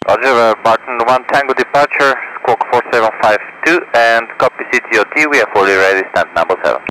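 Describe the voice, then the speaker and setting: A man's voice: medium pitch, fast, pushed and bright. A man working as a pilot, making procedural calls and readbacks over aviation radio. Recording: very noisy aviation radio with engine noise.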